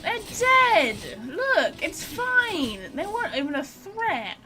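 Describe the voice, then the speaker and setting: High, animated, blunt woman gaming streamer. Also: takes it slowly, background noise. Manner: blunt, sweary, joking, animated. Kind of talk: casual let's-play commentary.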